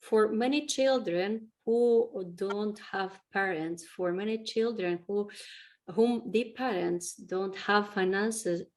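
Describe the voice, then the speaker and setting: A woman interviewer who speaks haltingly, searching for words as she asks questions and makes remarks. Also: medium pitch.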